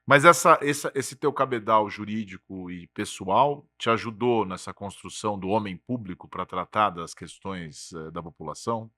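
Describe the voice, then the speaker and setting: Bright-voiced male interviewer. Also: fairly low pitch, moderate pace, few pauses. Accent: São Paulo Portuguese. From Brazil. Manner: prompting, brief interjections. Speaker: podcast host.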